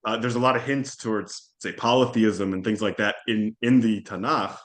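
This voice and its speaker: A man whose first language is Hebrew, speaking as a podcast guest in a thoughtful, halting way with frequent restarts. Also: fairly low pitch, fairly even intonation, a bit bright. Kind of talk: conversational interview.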